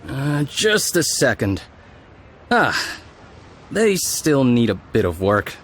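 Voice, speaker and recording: Patient game craftsman, gentle explainer, thoughtful, with lively intonation. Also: mid-range voice, takes it slowly, a little hiss or hum. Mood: musing. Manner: musing, hesitant hums, explanatory. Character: kindly, patient male master lantern craftsman. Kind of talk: video game character acting.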